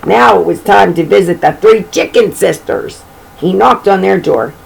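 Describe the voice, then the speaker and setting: A woman with a low, creaky voice reads a storybook to children. She is chatty and folksy, often stepping out of the text to comment on the pictures. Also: moderate pace, a little hiss or hum, poor audio. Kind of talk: informal read-aloud storytelling with chatty asides.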